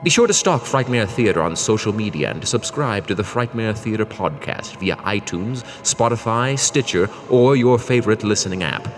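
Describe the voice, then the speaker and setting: A man's voice: fairly low pitch, animated, moderate pace, hardly any pauses. A man playing a grand, menacing summoned announcer, reading theatrical spooky radio credits in heavy reverb. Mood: ghoulishly formal. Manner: theatrical, macabre. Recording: long cavernous reverb over music.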